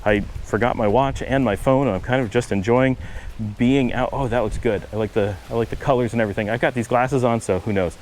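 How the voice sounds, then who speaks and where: A middle-aged man from upstate New York with a Buffalo-Cleveland accent, talking fast in a casual vlog while walking outdoors on a windy street. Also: medium pitch, steady tone, a little mellow. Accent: Buffalo-Cleveland American. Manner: fast-talking, trying to slow down. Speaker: vlogger.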